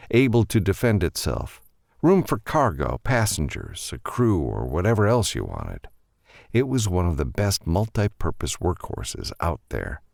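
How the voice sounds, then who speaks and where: A man narrating scripted fiction as an audiobook reader, with expressive storytelling delivery. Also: a bass voice, vocal fry, no echo.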